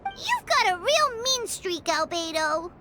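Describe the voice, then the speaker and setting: A woman voicing a small, excitable and easily spooked fairy girl in a video game, with a squeaky childlike voice and theatrical delivery. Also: slow, heavily acted. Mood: suspicious. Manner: theatrical, refers to self in third person. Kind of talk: animated video game dialogue acting. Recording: game music behind the voice.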